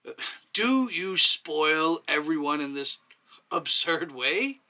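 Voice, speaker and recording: Stern, exasperated male committee chairman, played by a male story reader: highish, very forceful, drawn-out emphasis, interrogating. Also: lively intonation, heavily acted. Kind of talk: dramatic short story reading.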